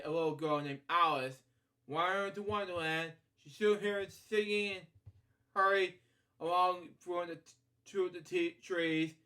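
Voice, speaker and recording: A man with a distinctly high-pitched voice reads a picture book aloud as a bedtime storyteller. His delivery is halting and rambling, slipping from the story into casual, off-the-cuff commentary and back again. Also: a bit bright. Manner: rambling, halting, frequently digressing with asides.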